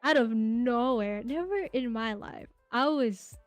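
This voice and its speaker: A woman's voice: on the high side, animated, takes it slowly, no echo, soft background music. A woman in her twenties, a podcast guest chatting casually, telling rambling stories with lots of fillers and giggles. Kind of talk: casual interview chat.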